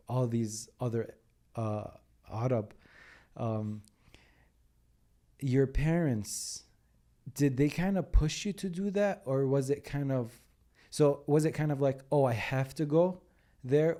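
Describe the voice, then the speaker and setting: A man's voice: mid-range voice, slow, pauses often, dark tone. A man hosting an interview, asking questions hesitantly with fillers like "like" and "um". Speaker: podcast host.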